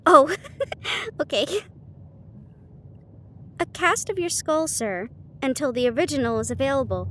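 A woman reading classic fiction aloud in a slightly halting manner, as in an intimate audio roleplay. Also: high voice, slight background noise. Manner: halting, stumbles over difficult words, giggly. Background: ambient sound effects.

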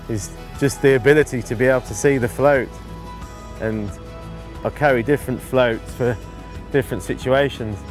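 Unhurried middle-aged man outdoors. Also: mid-range voice. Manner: unhurried, halting phrases while busy. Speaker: angling instructor, presenter. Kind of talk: relaxed instructional commentary while fishing. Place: outdoors on an open lakeside bank.

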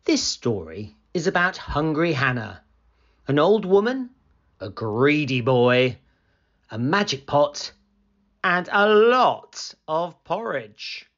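A man reading a children's story aloud in an expressive, deliberate storytelling manner. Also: fairly high pitch, lo-fi sound.

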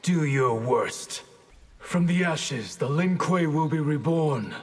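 Honorable stern ninja clan boss, solemn, bit husky, echoey video game sound. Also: male voice, a little high, animated, heavily acted. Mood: grim, defiant. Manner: solemn, formal, measured. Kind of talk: dramatic fighting game dialogue. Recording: reverberant hall-like game mix.

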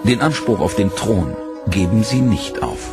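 A man giving scripted documentary narration in a measured, dramatic storytelling manner. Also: on the low side, animated. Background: loud dramatic music.

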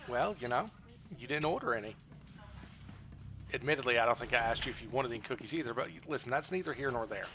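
A man's voice: mid-range voice, lively intonation, fast talker, long pauses, background noise. A man streaming a game with casual live commentary in a playful, teasing manner. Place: indoor room at a streaming setup. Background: game music.

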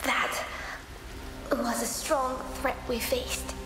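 A woman playing an anxious, gentle young ghost sister in a video game, speaking in a hesitant, solemn way. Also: a little high, little pitch movement, extremely slow. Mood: gentle concern. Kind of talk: video game cutscene acting. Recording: long echoing reverb, loud music behind.

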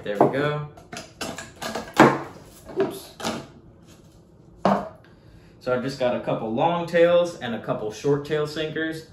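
A young man, a fishing guide, chatting easily to his viewers as he unboxes gear at his desk in a small home office. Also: medium pitch. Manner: easygoing, chatty, addresses viewers as guys. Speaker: surf fishing guide and channel host. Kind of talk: casual unboxing vlog talk. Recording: echoey room with faint hiss.

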